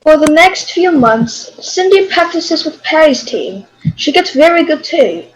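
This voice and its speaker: High-voiced woman narrator. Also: big pitch swings, unhurried, poor audio. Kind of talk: scripted story narration for readers theater.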